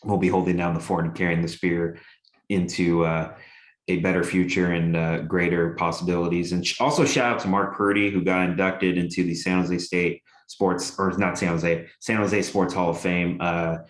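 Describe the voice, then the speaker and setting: Young guy w a real deep voice, rambly fan talk full of ums, kinda echoey room. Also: little pitch movement, moderate pace. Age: young adult. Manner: rambling with many fillers, enthusiastic. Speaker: sports show co-host and radio broadcaster. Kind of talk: casual sports chat.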